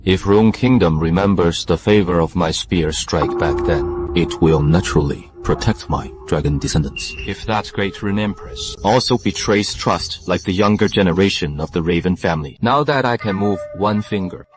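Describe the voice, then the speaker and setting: A man voices an ancient warrior ancestor in an anime motion comic. His low voice is assured, commanding and protective, set over prominent music. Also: normal speed, few pauses, lightly acted. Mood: calm, assured. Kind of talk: dramatic anime dub acting.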